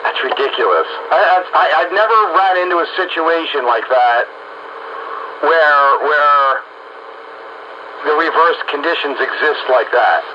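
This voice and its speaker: A man's voice: fairly high pitch, animated, unhurried. A man chatting casually over two-way radio as an operator, often stammering and repeating his words. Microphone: radio transmission. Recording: muffled two-way radio with clear static.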